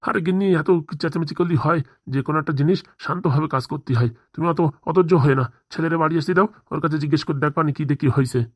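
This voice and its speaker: A woman's voice: low voice, very fast, few pauses, no echo, studio quality. A woman voicing an exasperated, placating husband and father in a comedy cartoon. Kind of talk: Bengali comedy cartoon dialogue.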